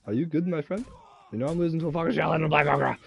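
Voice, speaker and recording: A man's voice: on the high side, very fast, gravelly, a crowd in the background. A man in his twenties, drunk, rambling crudely and swearing while he plays a game and chats. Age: 20s. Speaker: game streamer. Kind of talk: casual chat while gaming.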